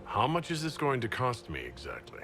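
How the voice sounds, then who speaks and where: An actor playing a heroic leader in a fantasy video game, speaking in a measured, formal, probing way. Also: male voice, medium pitch, wildly swooping pitch, bright, forceful tone, exaggerated voice. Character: male fantasy hero leader, measured and questioning. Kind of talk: video game character dialogue. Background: game music.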